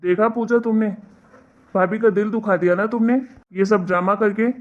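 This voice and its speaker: A woman's voice: mid-range voice, steady tone, moderate pace, close to an everyday voice. A woman voicing a muffled, exasperated young husband. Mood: reproachful. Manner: scolding, lecturing. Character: exasperated, reproachful young husband, protective of in-laws. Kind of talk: animated family drama dialogue.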